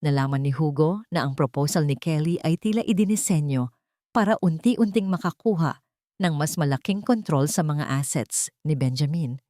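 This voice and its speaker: A woman's voice: low-pitched, moderate pace, warm tone, no room sound, studio quality. A woman narrating a scripted dramatic romance story.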